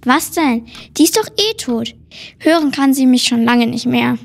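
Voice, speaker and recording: A woman's voice: sits high, a little slow, creaky, heavily acted. A female performer playing a blunt, uneasy young girl in an audio drama. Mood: disgusted. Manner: complaining, blunt.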